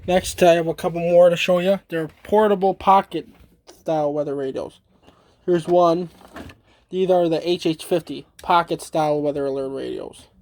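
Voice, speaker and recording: A man giving a casual, halting, informal show-and-tell demonstration as a hobbyist. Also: on the high side, steady tone, a little mellow. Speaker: hobbyist demonstrating a radio collection.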